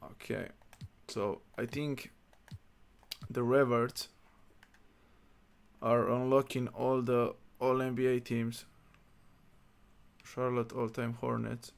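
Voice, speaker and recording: A man chats through his gaming livestream in a relaxed, muttering way, reading menus half to himself. His voice is gravelly and fairly monotone, with a mid pitch. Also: slow, pauses often. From Slovenia. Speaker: streamer. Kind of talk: casual gaming livestream chat.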